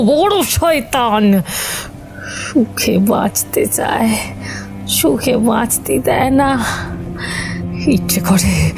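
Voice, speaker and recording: A woman playing a gaunt, sinister ghost of a village woman in a horror audio story, hungry and bitter, muttering menacingly in drawn-out words. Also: high voice, heavily acted. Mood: seething rage. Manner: slow muttering, drawn-out, menacing speech, at times breathy whispering.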